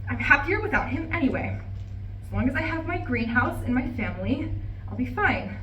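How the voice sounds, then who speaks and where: A woman's voice: sits high, animated, moderate pace, fairly bright tone, lo-fi sound. A woman acting a bitter, betrayed wife in an emphatic, indignant monologue at a live play reading. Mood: furious, defiant. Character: bitter, betrayed wife venting to her plants. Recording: roomy, distant live recording, faint noise.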